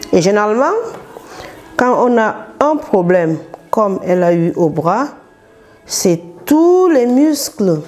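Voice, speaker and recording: A woman with a Cameroonian accent speaks in a low voice at a slow pace. She is a therapist being interviewed, explaining things unscripted, in halting phrases with frequent pauses. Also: a little mellow. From southern Cameroon. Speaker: massage therapist and business owner, interview guest.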